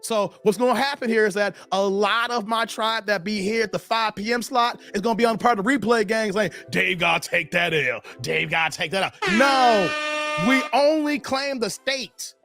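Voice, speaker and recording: A hoarse man hosting a casual livestream, slangy and chatty, greeting viewers by name. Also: high-pitched, normal speed, bright, forceful tone, soft background music. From Chicago area, Illinois. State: hoarse, losing his voice. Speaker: livestream host.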